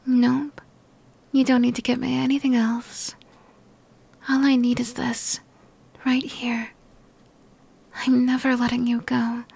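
A young woman whispers slowly and softly, all affection, sounding sick and worn out. Her breathy voice sits a little high and carries a gravelly edge. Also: monotone. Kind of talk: whispered ASMR girlfriend roleplay.

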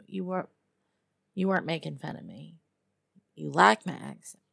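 A woman performs a female lizard-person hunter from Texas in an intimate audio roleplay. Her Southern drawl is low and heavily fried with creak, recorded close and dry. She teases and needles in a sardonic, guarded way, pausing to hum and muse. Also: fairly bright tone, no room sound, full character voice. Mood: sardonic, probing. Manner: drawling, sardonic, teasing, with musing pauses and hums. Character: tough, teasing female lizard-person huntress, guarded but lonely. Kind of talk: one-sided immersive audio roleplay to a captive listener.

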